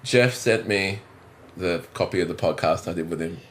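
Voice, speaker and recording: Deep-voiced Australian man rambling easily. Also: normal speed, fairly bright tone. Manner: rambling, self-deprecating, restarts a lot. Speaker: drummer and musical director, podcast guest. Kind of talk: casual interview chat. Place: indoors, on a couch.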